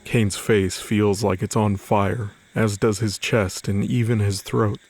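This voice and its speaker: A man's voice: fairly low pitch, flat delivery, slow, darkish tone. A man narrating scripted fiction as the storyteller of an audio drama. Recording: faint music under the voice. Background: music.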